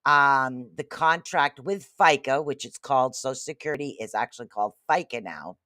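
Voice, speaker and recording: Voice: mid-range voice, expressive, moderate pace, sharp tone, no room sound, studio quality. A host in their late fifties giving casual commentary, reading viewer comments aloud and rambling with repeated phrases. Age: 50s. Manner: rambling, repeats phrases, frequent "literally" and "okay?". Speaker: livestream host.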